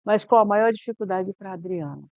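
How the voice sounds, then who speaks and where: Woman interviewer with a smooth voice. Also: medium pitch, fairly quick, no echo, narrow, tinny audio. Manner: asks long, framed questions. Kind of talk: interview about missions.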